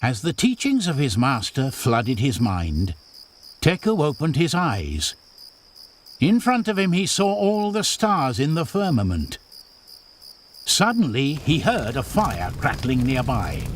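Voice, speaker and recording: A man narrating a game's story in a solemn, theatrical storybook style with measured delivery. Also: mid-range voice, wildly swooping pitch. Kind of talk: dramatic scripted storybook narration for a game. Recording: music behind the voice. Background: loud music.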